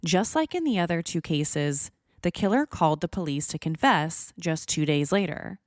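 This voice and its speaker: Woman podcast host reading true crime script, low voice, really dry close sound. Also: expressive, normal speed, dry recording.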